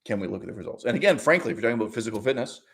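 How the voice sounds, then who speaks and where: A man with a mid-pitched voice delivers argumentative commentary as a YouTuber. He is emphatic and sardonic, leaning on repetition for weight. He often frames his points as rhetorical questions. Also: lively intonation, very fast. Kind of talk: argumentative commentary with read-out quotes.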